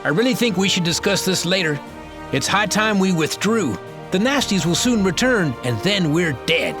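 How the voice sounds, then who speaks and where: An actor playing a smug, cowardly scientist with a formal, wordy manner in a video game cutscene. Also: male voice, high voice, big pitch swings, moderate pace. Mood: uneasy urging. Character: smug male scientist who bred aliens, cowardly under threat. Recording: loud music behind the voice. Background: music.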